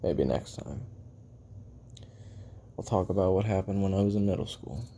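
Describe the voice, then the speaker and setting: A man playing a bitter, weary assassin in an audio roleplay, recounting his life in a brooding, profane monologue with heavy pauses. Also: deep voice, warm tone, slight background noise. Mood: brooding, reflective.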